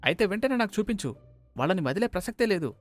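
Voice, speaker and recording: Man acting a high, hot-headed vengeful gangster. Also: big pitch swings, fast, faint music behind. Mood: outraged, vengeful. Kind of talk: animated moral-story voice acting.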